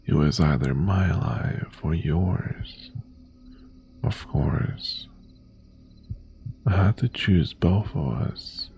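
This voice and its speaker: A man playing an obsessive, possessive mafia boss ex-boyfriend in an intimate ASMR roleplay, half-whispering in a breathy, gravelly voice with teasing menace and long pauses. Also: low voice, warm tone, soft background music, full character voice. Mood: hurt, possessive.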